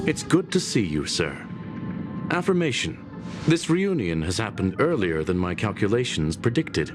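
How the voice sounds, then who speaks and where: A gentlemanly robot intellectual from a video game. The voice is low yet expressively inflected, logical and courteous, delivering each statement with formal precision over clear music. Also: male voice, big pitch swings, normal speed. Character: courteous, logical male mechanical genius.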